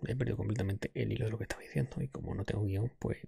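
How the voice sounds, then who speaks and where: A man softly whispering and murmuring an unscripted ASMR chat as a podcast host, close to the microphone. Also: on the low side, fairly even intonation, rapid-fire, creaky, husky, no room sound.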